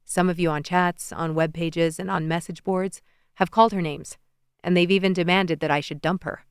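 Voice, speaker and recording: A woman voicing a confident, playful young man in an audiobook, speaking softly in a slightly lower register. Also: fairly even intonation, normal speed, clear, resonant tone, no echo, studio quality, natural voice. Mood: earnest. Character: charming young male president, confident, playful suitor. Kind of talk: audiobook character voice.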